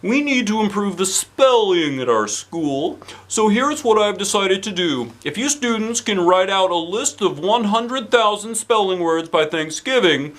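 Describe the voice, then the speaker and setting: Man putting on an excitable, emphatic school principal, pitched up. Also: unhurried, hardly any pauses. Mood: grandly announcing. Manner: loud, emphatic proclaiming. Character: excitable, eccentric adult male school principal.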